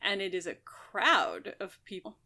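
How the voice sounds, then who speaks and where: A woman's voice: mid-range voice, lively intonation, unhurried, pushed and bright. A woman co-hosting a podcast, chatting casually as she talks through a book. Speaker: podcast co-host.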